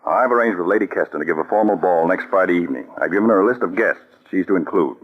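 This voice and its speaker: A man's voice: low voice, fast talker, husky. An actor playing a firm, authoritative male spy chief in a 1940s radio drama. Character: commanding male chief of counterspies. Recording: dull old radio broadcast.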